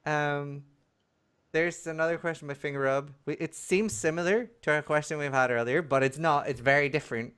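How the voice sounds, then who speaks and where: A man's voice: on the high side, fairly even intonation, fairly quick, fairly bright tone, clean tone. A man hosting a casual live stream, reading viewer questions aloud in a jokey way.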